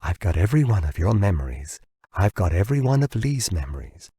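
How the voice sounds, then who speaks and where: A man's voice: low-pitched, sing-song, brisk, mellow, slightly rough, no room sound, clean studio sound, full character voice. A man voicing a bitter, ranting American man who taunts and tumbles over his words in an audiobook. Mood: enraged. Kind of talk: audiobook character dialogue.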